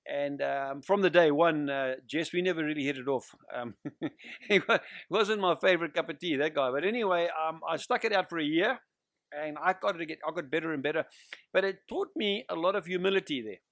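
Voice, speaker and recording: Older southern African man, higher-pitched voice. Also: a little fast, fairly bright tone, no echo, studio quality. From Central Africa (Zimbabwe, Zambia region). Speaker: guest pastor and evangelist. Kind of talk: personal testimony in an interview.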